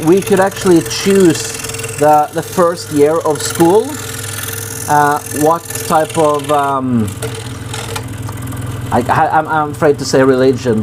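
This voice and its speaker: A man from Norway with a Norwegian accent, chatting casually on a live stream in halting English full of fillers and restarts. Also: a little high, background noise. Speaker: woodworking streamer.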